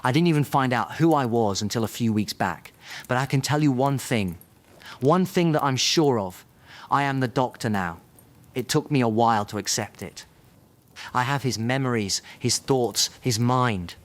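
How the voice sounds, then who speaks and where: A man acts out a reformed dark wizard pleading his case in a fantasy audio drama. He is defensive and insistent, with a defiant, sarcastic edge and a mid-pitched voice. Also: normal speed, close to an everyday voice. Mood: earnest, resolute. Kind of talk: fan audio drama acting.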